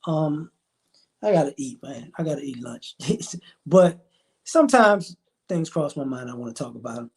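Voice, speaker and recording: A man's voice: on the high side, lively intonation, normal speed. A man in his forties hosting a live stream, talking in a rambling, emphatic, slangy way. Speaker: livestream host. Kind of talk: casual live-stream commentary.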